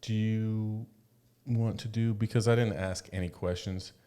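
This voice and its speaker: A man with a deep, low-pitched voice co-hosts a podcast. He talks informally, in an encouraging, conversational way. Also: fairly even intonation, measured pace, mellow, clear, resonant tone. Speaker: podcast co-host. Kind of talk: casual chat.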